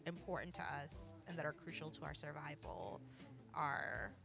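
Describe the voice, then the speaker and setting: An American woman co-hosting a casual talk show, reflecting informally with thoughtful, halting phrasing. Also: low-pitched, gravelly, over music. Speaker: podcast co-host and community organizer.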